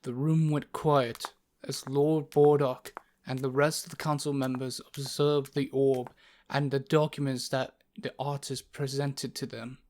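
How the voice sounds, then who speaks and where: A man's voice: mid-range voice, level tone, measured pace, darkish tone. A man reading scripted narration for a fantasy audio story. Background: music.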